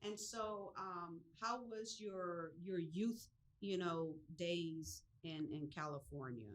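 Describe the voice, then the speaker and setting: A woman hosting a studio interview show, bubbly and quick to laugh as she chats with her guest. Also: fairly low pitch. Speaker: podcast host. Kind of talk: casual interview chat.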